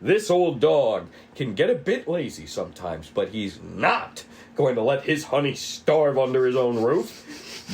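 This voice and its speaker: Detective husband, played by a man: gallant, theatrical, brooding. Unhurried delivery, faint background noise. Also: medium pitch, darkish tone.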